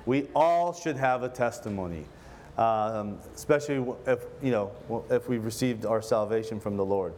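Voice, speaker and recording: A man from Lebanon preaching in a church service, praying and then teaching in a relaxed, earnest way, often pausing and restarting his sentences. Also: on the low side, a little hiss or hum. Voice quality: relaxed, filler-heavy, earnest delivery with frequent self-restarts. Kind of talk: casual conversational sermon with prayer.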